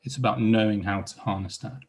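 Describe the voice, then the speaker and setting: A man's voice: fairly low pitch, steady tone, fairly quick, darkish tone, breathy edge. A man from Iran speaking on a panel as a psychologist, hesitant and full of repeated words and restarts. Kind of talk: panel discussion.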